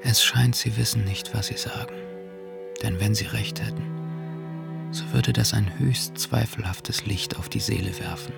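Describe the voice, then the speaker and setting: Slow, creaky, brooding ironic muser. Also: male voice, on the low side, fairly even intonation. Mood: brooding, sardonic. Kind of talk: German radio play acting. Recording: music under the voice.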